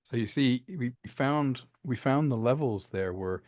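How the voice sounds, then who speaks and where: A man's voice: medium pitch, measured pace, a little mellow, no room sound, studio quality. A man talking casually and enthusiastically as a writer and director being interviewed about his work. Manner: chatty, enthusiastic, acts out bits of dialogue.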